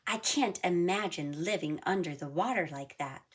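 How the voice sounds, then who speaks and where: Storybook little cricket played by a woman: earnest, doubting, expressive, very animated intonation. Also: on the low side, normal speed, breathy edge. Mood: self-doubting. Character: small, earnest, doubting young cricket with shifting shapes.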